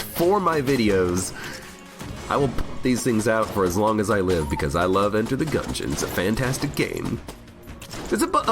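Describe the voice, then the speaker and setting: A man with a cold and a slightly worn voice, rambling cheerfully as he streams himself playing a game. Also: fairly low pitch. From United States. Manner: rambling, chatty, self-mocking humor. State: has a cold, voice a bit worn. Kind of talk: casual live gameplay commentary. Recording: game music loud under voice. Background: game music.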